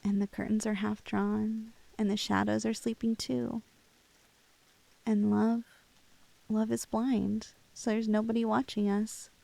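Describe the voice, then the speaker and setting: A woman's voice: mid-range voice, flat delivery, measured pace, gravelly, dark tone, no room sound. A woman playing a lovestruck, clingy young woman in an intimate ASMR roleplay, playful and hesitant, with a teasingly unhinged streak. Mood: hushed, wistful.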